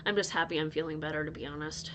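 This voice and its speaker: A woman with a slightly low voice, still congested from being sick, talks casually and rambles with lots of restarts. A faint hum sits under her speech. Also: fairly even intonation, very fast. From North Dakota. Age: adult, married. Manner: rambling, chatty, with frequent restarts and laughs. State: stuffy and recovering from illness. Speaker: crochet vlogger. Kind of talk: casual chat to viewers.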